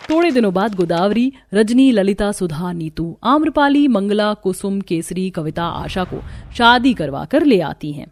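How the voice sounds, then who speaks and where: A woman's voice: medium pitch, a little mellow. A woman narrating a scripted family story in a dramatic storytelling style.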